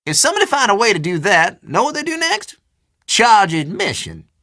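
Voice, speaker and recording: An actor playing a folksy old storekeeper in a video game, chatty and teasing, with a drawl. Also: male voice, high-pitched, huge pitch swings, normal speed, sharp tone, no room sound, clean studio sound, full character voice. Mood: scornful, knowing. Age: older. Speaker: small-town general store keeper. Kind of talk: video game character dialogue.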